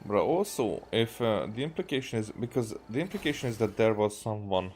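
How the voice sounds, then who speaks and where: A man from Hungary, thinking aloud in halting, rambling gameplay commentary and often repeating himself. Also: lowish voice, big pitch swings, darkish tone, slight background noise. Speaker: video game streamer. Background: faint game music.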